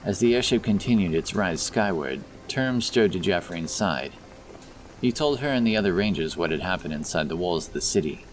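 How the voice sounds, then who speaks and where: A man with a deep voice narrates a scripted fantasy tale. He serves as storyteller of an audio drama, with light music underneath. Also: expressive, normal speed, low-quality recording.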